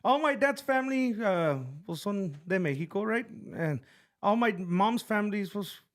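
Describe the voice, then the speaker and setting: Young valley guy, tejano accent, pretty high voice, quick and friendly, flips english to spanish. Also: animated, a bit bright. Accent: South Texas Tejano, mixing English and Spanish. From Rio Grande Valley, Texas. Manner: quick, code-switching, friendly. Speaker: band leader guest. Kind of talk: casual interview talk.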